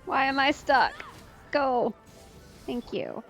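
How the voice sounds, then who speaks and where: A woman's voice: high-pitched, lively intonation, slow pace, a bit bright. A woman giving casual live commentary as she plays a video game. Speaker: streamer, host of a game let's play. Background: video game music.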